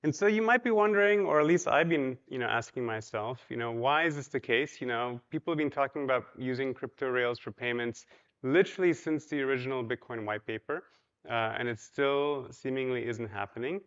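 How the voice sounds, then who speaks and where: A man's voice: medium pitch, a little fast, fairly bright tone, clear, resonant tone, muffled recording. A man from Poland giving a casual, chatty conference talk, with many ums and you-knows, and questions put to the audience. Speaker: conference speaker. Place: large hotel ballroom.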